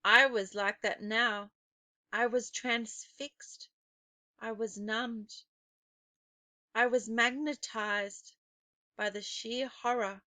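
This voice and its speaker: Female storybook narrator: crisp bright tone, slightly high, deliberate suspenseful phrasing, dry close sound. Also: no echo. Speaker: teacher reading a children's novel aloud.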